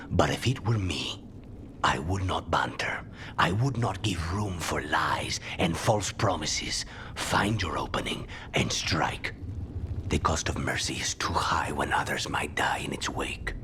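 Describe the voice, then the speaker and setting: An actor playing a grim, honour-bound assassin in a fantasy game, terse and intense, with a breathy delivery. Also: male voice, rumbling low, pushed and bright, a little hiss or hum, exaggerated voice. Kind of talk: scripted fantasy game dialogue.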